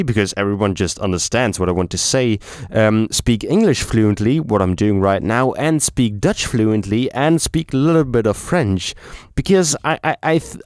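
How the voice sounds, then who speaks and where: A man's voice: mid-range voice, darkish tone, clear, resonant tone, no echo, studio quality. Rambling young German-accented man vlogging. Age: young adult. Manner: rambling, many fillers and restarts. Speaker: YouTuber. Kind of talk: casual vlog chat.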